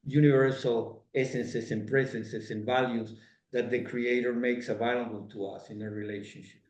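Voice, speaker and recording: A man's voice: medium pitch, highs cut off. Earnest man on a roomy video call. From Venezuela. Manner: earnest, gestures broadly while speaking in looping phrases. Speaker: interview guest. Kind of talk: reflective spiritual conversation. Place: home room, indoors. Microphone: video call webcam.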